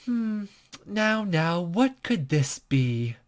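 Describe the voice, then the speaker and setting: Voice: mid-range voice, very animated, drawn-out words. A game player voicing a mysterious, playful woman from a visual novel, with teasing, archaic phrasing. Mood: curious, playful. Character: mysterious soothing woman, playful, enigmatic, selfishly impatient. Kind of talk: visual novel dialogue read aloud.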